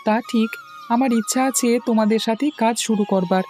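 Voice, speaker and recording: A man's voice: high voice, steady tone, a little fast, darkish tone, faint music behind, close to an everyday voice. A man voicing an eager cartoon village newcomer, polite and earnest in his dialogue.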